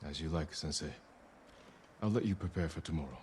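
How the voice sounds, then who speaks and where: An actor playing a resolute samurai war leader in a video game, speaking in a measured, grave, commanding way. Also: male voice, very deep voice, background noise. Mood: calm, solemn. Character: resolute samurai leader, solemn, loyal to his companions. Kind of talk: dramatic video game acting. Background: music.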